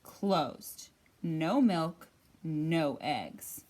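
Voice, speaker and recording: A woman voicing polite but firm storybook farm animals reading out their notes in a formal, measured way. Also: sits low, lively intonation, a little mellow, voice pushed down, exaggerated voice.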